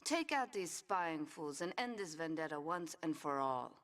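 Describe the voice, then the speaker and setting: A woman's voice: sits low, expressive, normal speed, fairly bright tone, a little hiss or hum. An actress playing a cold, commanding Yakuza woman boss who gives curt, dryly seductive orders in a video game cutscene. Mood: cool command.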